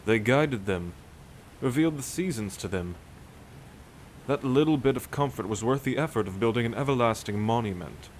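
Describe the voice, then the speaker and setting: A man's voice: mid-range voice, animated, normal speed, slight background noise, close to an everyday voice, low-quality recording. A man playing a dry, learned, skeptical sailor who teases his shipmates in a nautical audio drama. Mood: dry, teasing. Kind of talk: cinematic audio roleplay, nautical fantasy.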